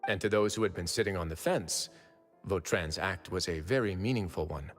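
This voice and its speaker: An actor playing a composed official in a video game, speaking formally and deliberately. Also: male voice, sits low, in a large hall, faint music behind, lightly acted. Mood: composed, explanatory. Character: formal, composed male official giving careful testimony. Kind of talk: video game character acting.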